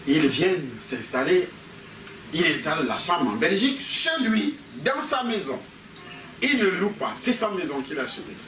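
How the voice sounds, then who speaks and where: Echoey Cameroonian male preacher, fairly high-pitched. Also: very animated, normal speed. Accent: Cameroonian French. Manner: repeats phrases and calls for amens from the congregation. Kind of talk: animated sermon with personal anecdotes. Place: church meeting hall with a congregation. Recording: echoey hall, distant mic, congregation noise.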